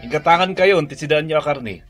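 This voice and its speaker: An actor playing a generous, dutiful grown son with an Ilocano accent in a radio drama. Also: male voice, on the high side, fast, music in the background, natural voice. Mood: kindly.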